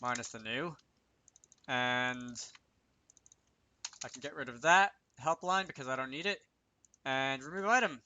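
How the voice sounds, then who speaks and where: A man's voice: medium pitch, measured pace, long pauses, bright, forceful tone, no echo, lo-fi sound. A man giving a casual coding tutorial, talking to himself as he works, with wry little asides. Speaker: video creator demonstrating game programming.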